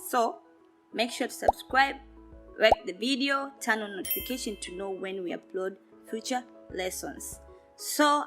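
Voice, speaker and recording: A woman, a Swahili speaker, teaching a beginner lesson and repeating each word slowly and with emphasis. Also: mid-range voice, pushed and bright. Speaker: language teacher.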